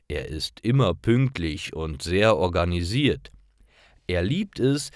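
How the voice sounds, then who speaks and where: A man narrating scripted stories for language learners in a deliberate, clearly enunciated, expressive way. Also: deep voice, dry recording, clean studio sound.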